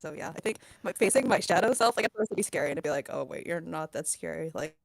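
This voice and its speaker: A woman's voice: fairly low pitch, expressive, fast talker, gravelly, no room sound, studio quality. A woman chatting casually in a giggly, whimsical way, slipping into little acted-out quotes. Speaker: voice actor on a cast panel. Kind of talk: casual chat.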